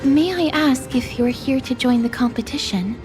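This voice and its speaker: An actress playing a composed, observant travelling companion in a video game, polite, measured and formal. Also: female voice, sits high, over music, natural voice. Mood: calm, observant.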